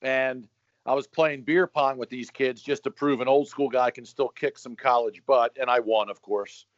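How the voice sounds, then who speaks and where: A middle-aged man co-hosting a podcast, telling stories in a lively, joking, casual way. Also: medium pitch, normal speed, no echo. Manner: animated storyteller, joking. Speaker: podcast co-host. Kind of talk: casual chat. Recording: dry, slightly dull sound.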